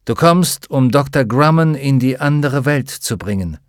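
A man reading an audiobook, voicing a solemn adult male village chief who speaks gravely and with command. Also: medium pitch, expressive, a little slow, no room sound, studio quality. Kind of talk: audiobook character voice.

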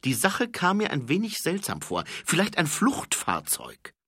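An earnest young watchman hurries through his report in a raised, bright voice, as a man reading aloud plays him. His delivery is quick and a little reproachful. The read is dry and close. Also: on the high side, wildly swooping pitch, slightly rough, no room sound, pitched above the performer's own voice, full character voice. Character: eager young male watchman, earnest, dutiful.

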